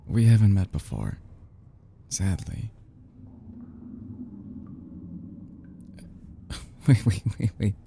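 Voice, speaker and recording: A man acts out an obsessive, unstable male kidnapper hopelessly in love, for an intimate audio roleplay. The voice is low and slow, with a very soft, dark tone. He stammers and falters, often sinking toward a whisper, over a faint noisy background. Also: very animated, heavily acted. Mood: rattled, disbelieving. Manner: halting, stammering, breathy nervous chuckles, often dropping to whispers.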